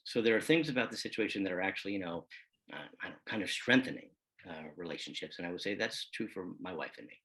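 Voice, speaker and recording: A man playing a measured married man talking casually in a documentary play. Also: low-pitched, expressive, pushed and bright, low-quality recording. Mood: reflective. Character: measured married man coping in close quarters. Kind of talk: casual documentary theater monologue.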